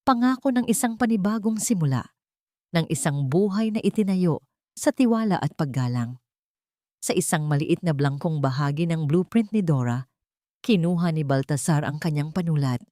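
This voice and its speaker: A woman narrating a scripted romantic drama story in a measured, sentimental way. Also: low voice, expressive, warm tone, no room sound, clean studio sound.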